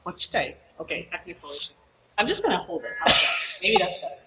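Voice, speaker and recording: A woman's voice: on the low side, huge pitch swings, quick pace, lo-fi sound, heavy background noise. A woman from Chicago whose first language is Japanese, answering interview questions casually with lots of fillers over a shared mic. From Chicago, USA. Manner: rambling, many fillers. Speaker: guest taiko performer. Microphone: shared mic on a video call.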